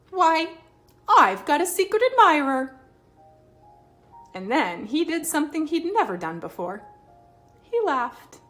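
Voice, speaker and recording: A woman reading a children's picture book aloud expressively, with dramatic emphasis and pauses. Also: on the high side. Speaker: read-aloud storyteller.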